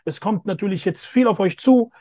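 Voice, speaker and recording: Male host, 30s, video call, fairly high voice, emphatic and repetitive. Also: fairly quick, noisy background. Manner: emphatic, repeats phrases for stress. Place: outdoors by a pool with greenery. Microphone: phone or laptop camera, video call.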